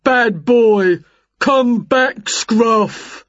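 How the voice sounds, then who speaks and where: A big, simple giant of a man from a storybook, put on by a woman, husky and forceful. He is apologetic and deferential, talking in homely, ungrammatical phrases. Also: fairly high pitch, drawn-out words, dry recording, muffled recording, voice pushed up. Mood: scolding. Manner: rustic, ungrammatical, politely deferential. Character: giant male neighbour, simple, apologetic dog owner.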